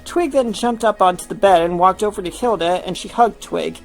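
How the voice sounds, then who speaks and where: A woman's voice: medium pitch, normal speed, low-quality recording. Woman narrating a story over music. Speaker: fan fiction narrator. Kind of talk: scripted narration, story reading.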